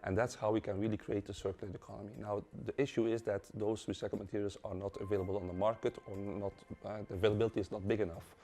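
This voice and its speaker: A man, a company's head of innovation, calmly explaining to camera with frequent fillers. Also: on the low side, quick pace, few pauses.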